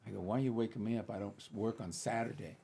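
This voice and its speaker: An older man with a husky, slightly breathy voice tells stories from his past on a casual podcast. He sounds dry and matter-of-fact, and he slips into the voices of the people he is quoting. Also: fairly low pitch, steady tone, fast, dark tone. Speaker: comedian guest.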